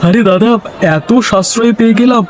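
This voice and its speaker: A man voicing a friendly village market customer in an animated folk tale, eager and expressive. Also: extremely high pitch, fairly quick. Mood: delighted. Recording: noisy outdoor crowd ambience behind.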